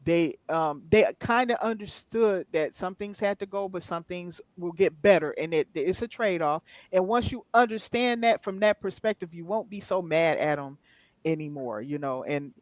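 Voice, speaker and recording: A man's voice: sits high, moderate pace, no room sound. A man joining a podcast as a guest, talking casually in a reassuring, explanatory way. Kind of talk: casual chat.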